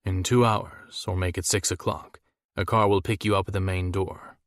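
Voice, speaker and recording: A confident, seductive male lover from a romance audiobook, played by a man. He speaks low and intimately with earnest devotion, in a dry, close sound. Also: flat delivery, normal speed, dry recording, lightly acted.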